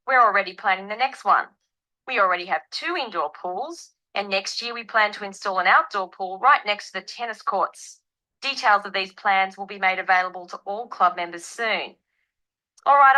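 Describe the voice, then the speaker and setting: A woman playing an upbeat club manager giving a clear, measured scripted announcement for a listening test. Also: medium pitch, bright, forceful tone, poor audio.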